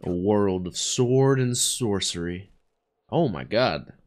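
A young man with a raspy, sick voice chatting excitedly while reacting to an anime in a small home studio, talking into a boom mic. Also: slow pace. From United States. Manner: excitable, chatty, laughing often. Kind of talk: casual anime reaction commentary. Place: small bedroom studio with neon lights. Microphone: studio mic on boom arm, headphones.

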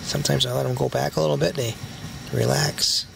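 A man with a heavily gravelly, creaky voice talks casually while he digs for worms in his yard after dark. He is recorded on a handheld camera outdoors. There is clear background noise and outdoor hiss behind him. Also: medium pitch, fairly quick. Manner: chatty, excited over big worms. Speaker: backyard gardener and angler. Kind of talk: casual narration while working. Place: backyard outdoors at night. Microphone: handheld camera mic. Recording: noisy outdoor night recording.

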